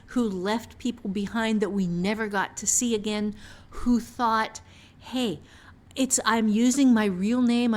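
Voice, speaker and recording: A woman's voice: a little high, a little mellow. A woman author and teacher explaining casually on a podcast, repeating phrases for emphasis.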